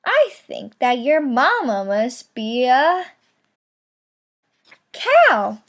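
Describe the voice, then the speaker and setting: A woman voicing a curious, agreeable young llama from a picture book, with wondering, drawn-out phrasing. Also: high-pitched, huge pitch swings, full character voice.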